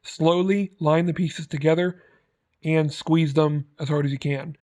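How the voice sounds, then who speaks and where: Male tutorial host, fairly high voice, very even intonation, plain scripted step-by-step instruction. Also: measured pace. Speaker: host of prop-making tutorial. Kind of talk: scripted how-to narration.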